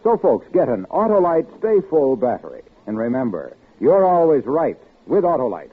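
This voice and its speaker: A man reads scripted ad copy as an upbeat radio pitchman, his slightly husky voice bouncing up and down. The sound is thin and tinny, like an old radio broadcast. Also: big pitch swings, moderate pace. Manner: bright, punchy pitchman. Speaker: radio announcer.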